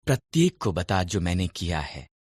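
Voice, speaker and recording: Man voicing Jesus, a calm, commanding healer. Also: low voice, moderate pace, very noisy, voice pushed down. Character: Jesus, calm authoritative male healer and teacher.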